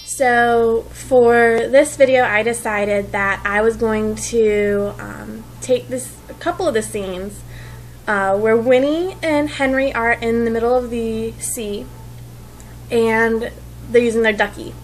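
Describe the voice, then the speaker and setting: A woman's voice: fairly high pitch, fairly even intonation, takes it slowly, slight background noise, poor audio. A woman chatting casually to viewers as a book reviewer. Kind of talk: casual video chat.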